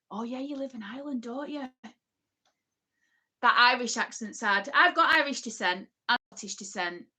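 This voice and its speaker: A woman's voice: medium pitch, pushed and bright. Northern English woman with an Oldham accent, host of a casual live chat, rambling and full of asides. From England. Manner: chatty, rambling, many ums and asides. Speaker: livestream host. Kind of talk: casual livestream chat reading comments.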